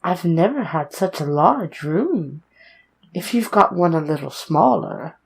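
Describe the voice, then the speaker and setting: A woman's voice: lowish voice, expressive, unhurried, vocal fry, a little mellow, breathy edge, full character voice. A woman playing a timid, polite young newcomer in a radio suspense drama, meek, hesitant and deferential. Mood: hesitant, uneasy. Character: timid, polite, apologetic young woman starting a new job. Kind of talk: old-time radio suspense drama acting.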